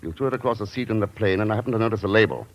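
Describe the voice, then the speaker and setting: An actor playing a wry, unflappable secret agent in a 1940s radio drama, dry and authoritative. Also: male voice, fairly low pitch, racing, natural voice. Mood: cool, assured. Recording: muffled, noisy vintage radio recording.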